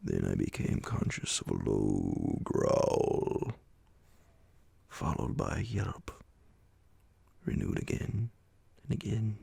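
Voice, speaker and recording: Slow, gravelly, very deep male horror narrator. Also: huge pitch swings, warm tone, dry recording. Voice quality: gravelly, breathy, often sinking toward a whisper. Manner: dramatic, drawn-out phrasing with many pauses. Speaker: narrator reading a classic horror story aloud. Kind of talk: slow ASMR bedtime audiobook narration.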